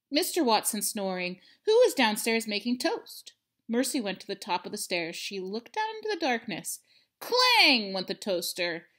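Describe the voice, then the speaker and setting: A woman in her thirties reading a storybook aloud to children, expressively and with emphatic pauses. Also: mid-range voice, a bit bright. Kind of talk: animated children's read-aloud. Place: indoors, living room at home.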